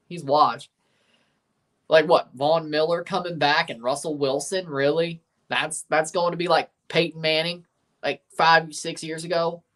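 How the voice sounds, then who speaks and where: A man's voice: on the high side, fairly bright tone. Opinionated man hosting a live watchalong. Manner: opinionated, ranting, reads chat aloud; reads out team lineups. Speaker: livestream host reading chat. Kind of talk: casual live watchalong chat.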